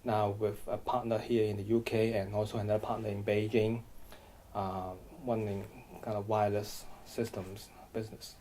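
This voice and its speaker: Middle-aged man from Hong Kong, halting interview answers, low and warm. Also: flat delivery, slight background noise, poor audio. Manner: hesitant, frequent restarts and repeated words. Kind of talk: oral history interview.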